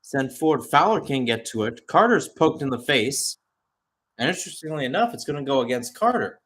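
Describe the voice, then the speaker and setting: A man's voice: medium pitch, big pitch swings, fairly quick, a bit bright. A man giving live radio-style football commentary as a play-by-play caller, mixing match calls with chatty, conversational asides.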